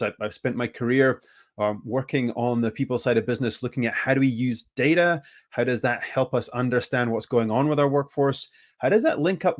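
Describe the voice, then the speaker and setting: A man whose first language is English, giving a conference presentation with energy and often restarting his phrases. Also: mid-range voice. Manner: energetic, self-correcting, frequent restarts. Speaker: conference speaker.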